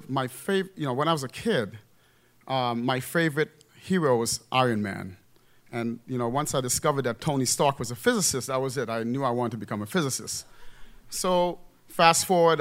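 A man's voice: medium pitch, a bit bright. A man in his forties, from Trinidad and raised in the Bronx, telling a personal story live on stage in a loose conversational way with many fillers and asides. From Trinidad, raised in the Bronx, New York.

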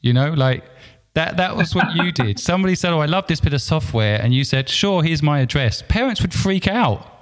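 A man's voice: on the high side, expressive, fairly quick. A man hosting a casual interview, talking conversationally and restarting phrases. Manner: conversational, repeats words when starting. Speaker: host.

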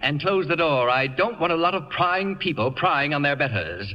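A man's voice: a little high, lively intonation, normal speed, over loud music, lightly acted. An actor playing an imperious, self-important man of letters in a radio comedy, pompous, sardonic and cutting. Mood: haughty, sardonic. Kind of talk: 1950 radio comedy acting. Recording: reverberant, dull old radio broadcast recording.

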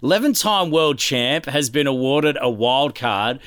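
Podcast host: Australian man, mid-pitched, clear voice, easygoing sports banter. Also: lively intonation, measured pace, a bit bright, dry recording, clean studio sound.

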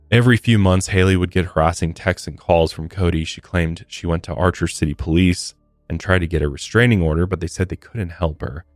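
Very deep-voiced American man narrating true crime. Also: no room sound. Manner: blunt, profane commentary. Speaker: true-crime podcast host. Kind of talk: scripted true-crime narration with casual asides. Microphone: close studio podcast microphone. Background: faint music.

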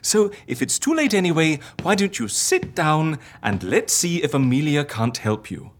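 A woman's voice: deep voice, sing-song, moderate pace, slight background noise. An actress in an audio drama playing a fussy, eccentric, sceptical woman who reassures with hesitant patience and dry wit. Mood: coaxing, fussy.

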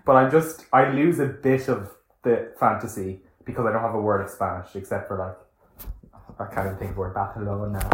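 A man co-hosting a podcast, chatting casually with halting restarts. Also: fairly low pitch, slight background noise. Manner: halting, repeats words when starting. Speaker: podcast co-host. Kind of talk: casual chat. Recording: roomy echo.

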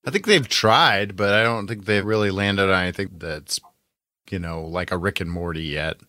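A man's voice: sits low, normal speed, bright, forceful tone, no echo, clean studio sound. A man co-hosting a podcast with casual banter and wry, sarcastic joking. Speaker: podcast co-host.

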